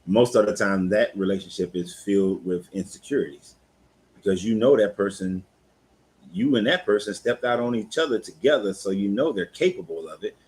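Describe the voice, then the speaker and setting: A man's voice: on the low side, moderate pace, a little mellow, breathy edge. A man in his fifties, a life coach hosting a casual live talk, speaking candidly and in a laid-back, conversational way. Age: 50s. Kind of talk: casual live-stream chat.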